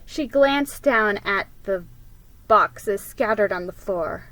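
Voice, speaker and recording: A woman's voice: medium pitch, expressive, takes it slowly, a bit bright, dry recording, rough recording. A woman giving a dramatic reading of a children's story, expressively acting out the dialogue. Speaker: storybook reader.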